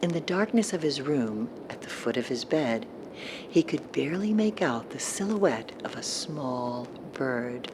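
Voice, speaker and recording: A woman's voice: a bass voice, lively intonation, measured pace, background noise. A woman narrating a scripted horror story in a storytelling style. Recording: music behind the narration.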